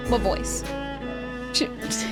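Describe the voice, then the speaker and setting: A woman giving casual game commentary as a streamer, chatty and playful, often repeating words. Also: extremely slow. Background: game music.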